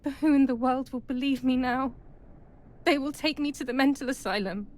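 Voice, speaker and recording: Woman playing a mellow-voiced, troubled young game heroine. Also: sits high, fairly even intonation, normal speed, lightly acted. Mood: distraught, guilty. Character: troubled, brooding young woman in wartime Italy. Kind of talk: scripted first-person game narration.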